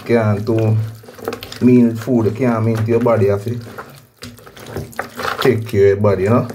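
A man's voice: on the low side, level tone, moderate pace. A man with a Jamaican accent casually narrating his cooking as host, often repeating phrases and tags. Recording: clear background noise, pot sizzling and clatter.

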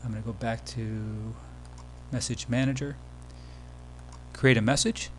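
Male demo presenter, casual screencast tutorial delivery, mid-pitched voice, slight hum and noise in the recording. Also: steady tone, measured pace, poor audio.

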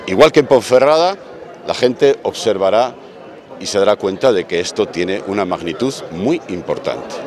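A man, a union representative, speaking firmly and in a measured way in a street interview outdoors. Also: lowish voice, expressive, a little mellow.

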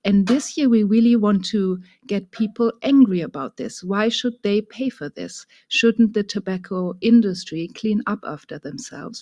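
A woman with a German accent, giving a measured, explanatory presentation over slides with frequent fillers. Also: medium pitch, darkish tone, dry recording. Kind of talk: remote presentation.

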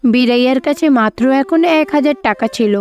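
High-pitched woman narrating a story. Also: brisk, a little mellow. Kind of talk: scripted children's story narration.

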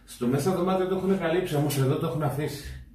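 A man's voice: a little high, distant mic, over a noisy crowd. A man in his thirties giving a casual house tour, explaining things to viewers. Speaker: father in a family travel vlog. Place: small stone-walled holiday apartment. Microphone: camera mic from a few steps away. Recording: roomy echo, off-mic, faint noise.

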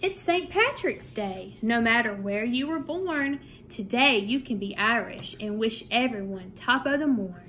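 A woman, a grade-school teacher speaking in measured, simple phrases as in a read-aloud for young children. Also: on the high side, expressive, a bit bright. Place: small room at home, indoors. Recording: clear background room noise.

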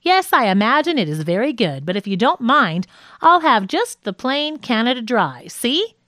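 Woman voicing male comedian, wry, a bit impatient, audiobook comedy script, bouncy high intonation. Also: very animated, moderate pace, a bit bright, no echo, studio quality.

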